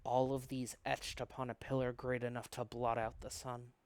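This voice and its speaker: A man voicing a thoughtful, eloquent scholar in a visual novel, with measured, formal, literary phrasing. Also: mid-range voice, monotone, clear, resonant tone, lightly acted. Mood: solemn, hushed. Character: thoughtful, eloquent male scholar studying arcane flowers. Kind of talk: visual novel read-aloud with narration.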